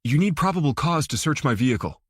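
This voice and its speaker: Man voicing a husky, terse male investigator. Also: fairly high pitch, sing-song, fast, no echo, clean studio sound.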